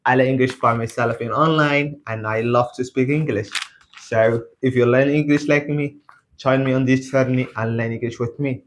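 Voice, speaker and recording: A man from Somalia with a Somali accent speaks casual, halting learner English to camera, repeating phrases as he practises. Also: mid-range voice, fairly even intonation, a little hiss or hum. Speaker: English learner and video blogger.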